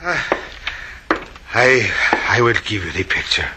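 An actor playing a nervous, shifty photographer with a Spanish accent in an old radio drama, halting and obsequious. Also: male voice, fairly low pitch, sing-song. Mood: nervous, pleading. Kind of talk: 1940s-50s radio drama acting. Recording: noisy old radio recording.

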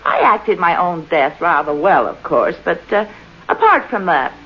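A smug, cold-blooded wife in old radio drama. Her voice is low yet very expressive, dripping disdain. The recording is dull, with faint noise. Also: a little slow, husky, full character voice. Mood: smug, scornful. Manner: self-satisfied, disdainful.

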